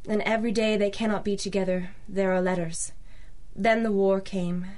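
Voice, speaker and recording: Female actor as mysterious young lady client: medium pitch, formal, polite, hesitant, radio noir style. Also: fairly even intonation, moderate pace, darkish tone, lightly acted. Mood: wistful. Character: demure, pleading young society woman as anxious client. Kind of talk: old-time radio noir acting.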